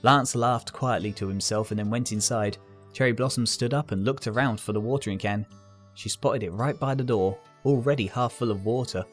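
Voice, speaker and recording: A man reading a scripted fan fiction story as its narrator, with soft guitar music behind him. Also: mid-range voice, fairly quick.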